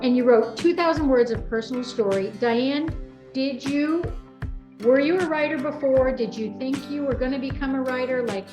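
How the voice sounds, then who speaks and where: A woman's voice: high voice, moderate pace. Middle aged woman host, encouraging, chatty group interview, echoey room w faint music. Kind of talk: casual group chat interview. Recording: roomy echo, faint music.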